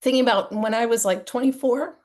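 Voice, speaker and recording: A woman presenting at a conference session, hoarse from a cold and often clearing her throat, talking earnestly and conversationally with frequent fillers. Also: fairly high pitch, brisk, a little mellow. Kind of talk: live-streamed conference talk.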